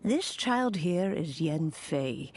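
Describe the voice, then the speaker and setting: A woman voicing a kindly, dignified old immortal granny with a measured, formal, creaky delivery in a video game. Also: sits low, big pitch swings, heavily acted. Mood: gentle, composed. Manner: measured, formal, gently authoritative. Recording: game audio with music underneath.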